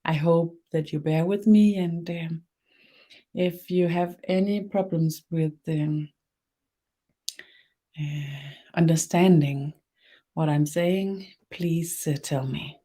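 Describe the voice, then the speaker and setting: Slow, mellow Danish-accented woman. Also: deep voice. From Denmark. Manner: slow, searching, many fillers and restarts. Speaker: webinar host, spiritual teacher. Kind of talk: live-streamed spiritual webinar.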